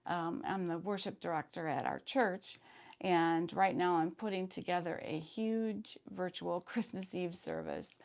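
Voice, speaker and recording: A woman in her fifties hosting a sewing live stream, chatting casually and reading viewer comments, her voice hoarse today. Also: fairly low pitch, very animated, measured pace, darkish tone. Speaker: sewing channel host.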